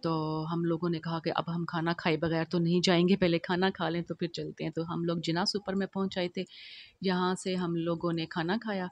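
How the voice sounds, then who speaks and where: A middle-aged woman narrates her own vlog over the footage, chatty and homely, leaning on little fillers as she goes. Her voice sits fairly low and stays level, with little rise or fall. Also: little pitch movement, moderate pace, dull audio. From Pakistan. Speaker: vlogger.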